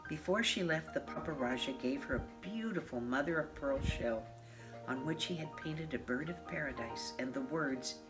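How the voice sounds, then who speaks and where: A woman of mature years reading a children's picture book aloud in a measured, expressive way. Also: low voice, rough recording. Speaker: storybook reader. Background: classical piano music.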